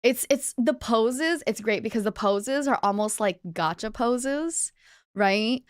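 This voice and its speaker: Woman, casual livestream chat, slow relaxed pacing, bubbly, dry close sound, faint music behind. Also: on the high side, smooth, dry recording. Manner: bubbly, chatty, greets viewers by name. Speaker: livestreamer, virtual streamer host.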